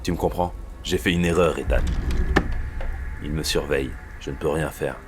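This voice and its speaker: Man acting very deep-voiced, worried police boss. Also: moderate pace. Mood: worried, insistent. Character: grave, worried male police superior, secretive and guilty. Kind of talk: French audio thriller drama acting. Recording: clear background noise, vehicle-like rumble.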